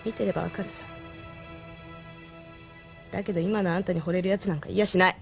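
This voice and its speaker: An actress playing a tough, frank young female mech pilot and former delinquent with rough, blunt speech in an audio drama. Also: fairly low pitch, fairly even intonation, fast talker. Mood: challenging. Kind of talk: Japanese audio drama acting. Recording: music behind the voice.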